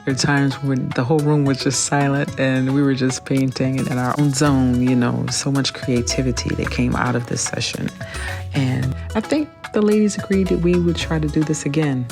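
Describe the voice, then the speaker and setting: A woman's voice: very deep voice, steady tone, moderate pace, music in the background. A woman hosting a travel vlog, narrating and interviewing in an effusive, enthusiastic way. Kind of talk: voice-over narration and casual interview.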